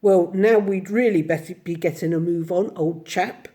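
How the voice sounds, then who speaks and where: A woman voicing a cheerful, brisk, kindly male water rat in a slightly raised, mellow voice. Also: lowish voice, lightly acted. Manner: cheerful, brisk, matter-of-fact.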